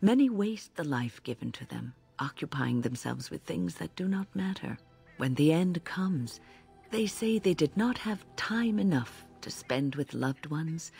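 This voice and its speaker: An actress playing a serene elderly healer in a video game, speaking in a measured, philosophical and gently wry way. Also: female voice, deep voice, expressive, gravelly, husky, full character voice. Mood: serene, reflective. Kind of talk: video game character dialogue. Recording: game audio with music behind.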